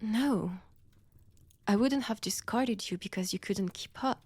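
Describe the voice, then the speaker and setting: A woman's voice: mid-range voice, darkish tone, husky, no echo. A woman with a French accent playing a centuries-old vampire, wounded and obsessive, in an intimate roleplay audio, often dropping into whispers. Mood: tender, confessional. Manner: slow, deliberate, theatrical with long pauses.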